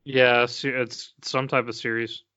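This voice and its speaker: Podcast co-host, man, clear smooth voice, hesitant fact-reader, lo-fi dry audio. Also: mid-range voice, flat delivery, unhurried, sharp tone, dry recording. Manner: hesitant, many "um"s, reads out facts. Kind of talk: casual chat.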